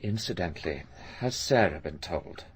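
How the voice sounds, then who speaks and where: An actor playing a self-assured English doctor in a radio drama, polite and dry, with a coolly evasive manner. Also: male voice, low-pitched, animated, moderate pace, gravelly, a little hiss or hum, poor audio. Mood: polite, guarded.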